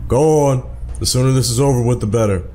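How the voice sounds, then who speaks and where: A man's voice: mid-range voice, fast, mellow, slight background noise, lightly acted. A man voicing a stern, impatient middle-aged father, curt and lecturing, while reading a visual novel game aloud.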